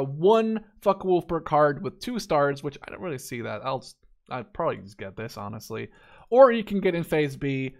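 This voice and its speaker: A man's voice: on the high side, fairly bright tone. A man in his twenties giving casual, unscripted gameplay commentary in a rambling, opinionated, informal way. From Netherlands. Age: 20s. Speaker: gaming YouTuber reviewing a game deck.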